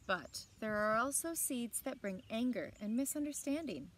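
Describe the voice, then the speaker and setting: A woman in her thirties reading aloud to children in an expressive storytelling style, with short asides. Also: on the high side, normal speed, slight background noise. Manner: expressive, clearly paced reading to young listeners. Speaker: library story time reader. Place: outdoors on a lawn beside evergreen trees. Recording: outdoor ambience with birdsong. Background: birds and outdoor ambience.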